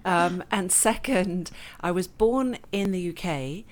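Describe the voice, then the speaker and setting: A woman from England, raised in Switzerland, with an English accent, chatting warmly and laughing often as a novelist being interviewed. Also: fairly low pitch, takes it slowly. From born in England, grew up in Switzerland. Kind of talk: casual interview chat.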